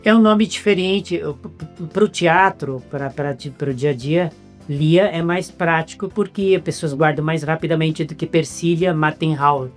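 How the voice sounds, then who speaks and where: This is a man with a Brazilian Portuguese accent who hosts a relaxed interview. He jokes easily and often stumbles and restarts his words. Quiet music runs faintly in the background. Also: on the high side, unhurried. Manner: playful, joking, stumbles over words. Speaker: interviewer host.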